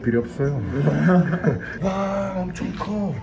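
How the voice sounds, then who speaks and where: A man's voice: high-pitched, expressive, slow. A young man vlogging playfully in an indoor animal cafe, speaking Korean as a with bits of English and laughing often. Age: young adult. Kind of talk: casual vlog chat. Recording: music clearly under the voice.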